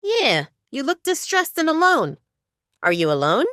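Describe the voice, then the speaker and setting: Shady thirty-something stranger, played by a woman: raised pitch, falsely friendly and reassuring, audio drama. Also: wildly swooping pitch, measured pace, fairly bright tone, no room sound, clean studio sound, full character voice. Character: smooth-talking suspicious man in his early thirties.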